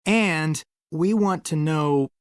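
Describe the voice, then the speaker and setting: An actor playing a sensible, polite young amateur detective in a video game, measured and courteous. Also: male voice, on the high side, smooth, no room sound, studio quality. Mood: sincere.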